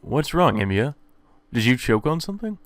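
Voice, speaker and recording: A man voicing a polite high school boy, a priest's son with formal phrasing, reading visual novel dialogue aloud. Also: very animated, normal speed, no echo, natural voice. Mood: concerned. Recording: background music under the voice.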